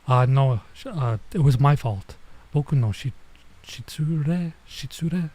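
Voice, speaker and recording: Male streamer, mellow mid-range voice, casual game commentary, halting think-aloud delivery with bits of Japanese. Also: medium pitch, expressive. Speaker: streamer, let's player. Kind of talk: casual let's-play commentary, reading game dialogue aloud.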